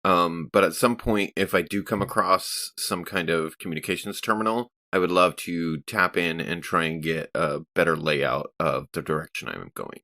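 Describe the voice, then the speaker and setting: Low, bright-voiced man playing a tabletop game. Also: normal speed, hardly any pauses. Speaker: player in a tabletop RPG. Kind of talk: casual in-game planning.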